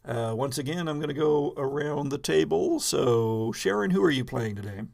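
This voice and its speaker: A man's voice: fairly high pitch, expressive, normal speed, creaky, a little mellow. A man hosting a live podcast in a casual, conversational way as the game master.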